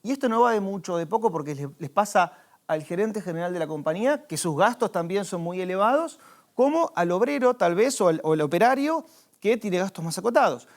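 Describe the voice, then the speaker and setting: An Argentine man speaks as a financial adviser in a relaxed conversation. He explains patiently, walking through concrete examples, and now and then restarts a phrase while he finds the word. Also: sits high, a little fast. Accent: Argentine Spanish.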